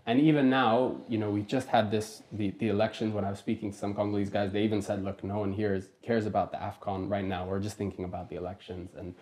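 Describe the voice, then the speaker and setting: A man's voice: lowish voice, little pitch movement, fast talker, hardly any pauses, a little mellow. A man from Kenya hosting a podcast, asking long rambling questions full of fillers, recorded on a lapel mic in a small wood-panelled room. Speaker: podcast host. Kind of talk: casual interview.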